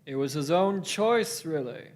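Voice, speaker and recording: A man's voice: on the high side, animated, measured pace. A man playing a scheming, defensive politician in a sci-fi radio play, speaking in emphatic, self-justifying phrases. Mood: smug. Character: scheming, defensive male politician turned desperate ally.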